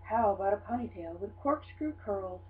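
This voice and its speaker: A woman voicing a patient, somewhat reluctant mother in a children's storybook, measured and coaxing. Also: mid-range voice, lively intonation, distant mic, lightly acted. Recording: dull lo-fi sound with hum.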